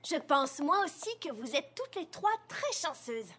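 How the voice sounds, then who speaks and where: A woman's voice: high voice, big pitch swings, unhurried, background noise. A woman voicing a kind, thoughtful young adult pony in a French cartoon dub, articulate and encouraging.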